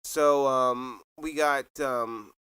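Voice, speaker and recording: A man's voice: medium pitch, steady tone, extremely slow, a crowd in the background. A middle-aged man co-hosting a casual podcast, rambling with fillers and laughing often. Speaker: podcast co-host. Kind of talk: casual chat.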